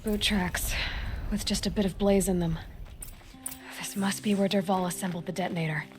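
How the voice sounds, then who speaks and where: An actress playing a determined, level-headed young hunter in a video game, focused and matter-of-fact. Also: female voice, mid-range voice, fairly even intonation, normal speed, music in the background, lightly acted. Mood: investigating. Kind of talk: dramatic video game voice acting.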